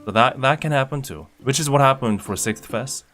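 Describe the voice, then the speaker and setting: Relaxed young man chatting over guitar. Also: mid-range voice, brisk. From Indonesia. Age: young adult. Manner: relaxed, rambling with fillers and restarts. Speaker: streamer. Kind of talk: casual chat reading viewer comments. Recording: faint guitar music behind.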